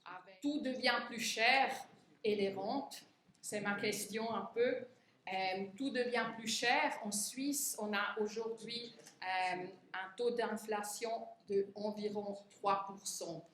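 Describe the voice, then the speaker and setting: A woman's voice: mid-range voice, slow, fairly bright tone. A middle-aged woman from Switzerland giving a talk in French, which is not her first language, hesitating often with fillers and restarts. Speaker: conference speaker. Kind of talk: lecture to union retirees. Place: conference hall. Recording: echoey hall, faint room noise.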